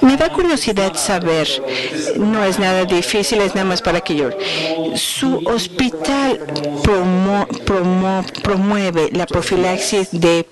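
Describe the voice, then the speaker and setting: A man interpreting a medical lecture live into the microphone, with a halting delivery full of restarts and self-corrections. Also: high voice, a crowd in the background. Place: conference auditorium. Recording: audience noise in a conference hall.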